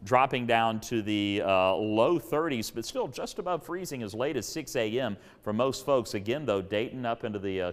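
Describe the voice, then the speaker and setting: A man with a smooth, resonant voice on the low side delivers a weather forecast. He explains things conversationally, without sounding read. The sound carries a long reverb. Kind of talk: unscripted weather forecast presentation.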